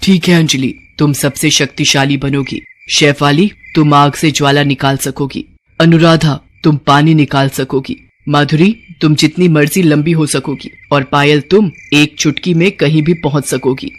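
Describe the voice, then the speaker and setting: A woman voicing a solemn, helpful female forest ghoul in an animated moral story, instructing as she goes. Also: low voice, moderate pace, a little hiss or hum, natural voice. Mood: solemn granting.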